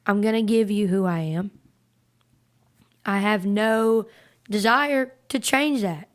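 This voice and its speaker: A raspy-voiced woman in her twenties with a Southern country accent, talking earnestly as a guest singer in a casual interview. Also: medium pitch, takes it slowly. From North Carolina, US. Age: 20s. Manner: earnest, repeats words when starting.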